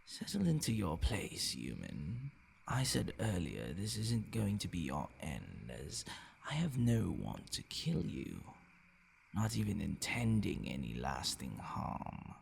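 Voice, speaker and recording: A man playing a possessive, menacing vampire in an intimate ASMR roleplay, murmuring and whispering in a gravelly, commanding, condescending way. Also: huge pitch swings, slow, a little hiss or hum, heavily acted. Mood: menacing, coaxing. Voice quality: gravelly, breathy low murmur dropping into whispers. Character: possessive, menacing male vampire toying with his captive.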